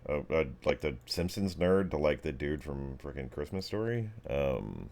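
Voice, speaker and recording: A man's voice: extremely low pitch, lively intonation, moderate pace, vocal fry, darkish tone. A man narrating a tabletop game as game master in a casual, rambling, improvised way.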